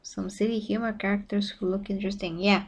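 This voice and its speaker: A woman's voice: medium pitch, little pitch movement, brisk, husky. A woman streamer with an Eastern European accent, talking casually and rambling through a live reaction, often laughing and correcting herself.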